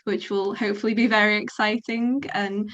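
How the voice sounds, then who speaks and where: A woman's voice: mid-range voice, flat delivery, moderate pace, fairly bright tone, dull audio. A young woman speaking informally as a student panellist, explaining things in a chatty way with examples. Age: young adult.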